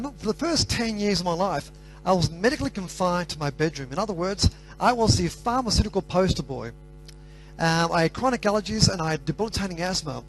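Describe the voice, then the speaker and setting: A man's voice: high voice, low-quality recording. A man with an Australian accent giving a motivational talk to students and asking the audience questions. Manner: energetic, asks the audience questions. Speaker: motivational speaker.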